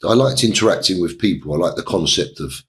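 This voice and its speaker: Interview guest, man, middle-aged, low voice, hesitant storyteller. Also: expressive, a bit bright. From England. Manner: halting, many fillers and restarts. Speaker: interview guest, property developer.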